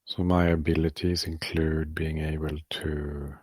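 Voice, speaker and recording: Slow, very low, gravelly man guiding meditation. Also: no room sound, studio quality. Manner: calm, halting, with long pauses between short phrases. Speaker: meditation guide. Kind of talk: guided meditation, softly spoken instruction.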